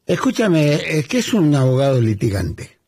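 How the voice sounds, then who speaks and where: A man's voice: a little high, sing-song, moderate pace, darkish tone, husky. A man hosting an interview, asking friendly short questions. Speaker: interview host. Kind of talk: conversational interview.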